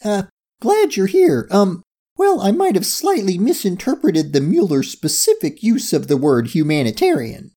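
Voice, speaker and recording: A tiny, fierce female hamster from a children's story. The voice sits far above normal speech and swoops about excitably. She is indignant and loves giving orders. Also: medium pitch, moderate pace, voice pushed up. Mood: sheepish. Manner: shrieking, indignant, commanding.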